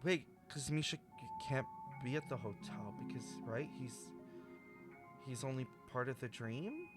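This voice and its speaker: A woman streaming a game, reacting casually and animatedly, swearing and thinking out loud. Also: extremely low pitch, expressive, unhurried. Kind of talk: casual live stream commentary. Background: game music.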